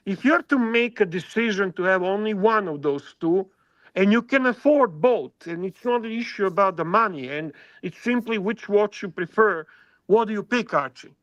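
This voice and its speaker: A man with a Serbian accent co-hosting a casual online panel, talking emphatically and restarting his sentences. His voice is high and bright with a sharp edge. The audio is dry but dull and lo-fi, like a call feed. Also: dry recording. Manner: emphatic, with frequent restarts and swearing. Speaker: panel co-host.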